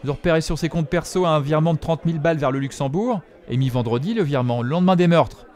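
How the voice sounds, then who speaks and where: A man's voice: a little high, fast, a little mellow, natural voice. An actor playing a chatty, mocking male bar regular who comments on the news in colloquial slang in an audio drama. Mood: mocking gossip. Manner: colloquial slang, mocking, tags sentences with questions. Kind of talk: audio fiction acting.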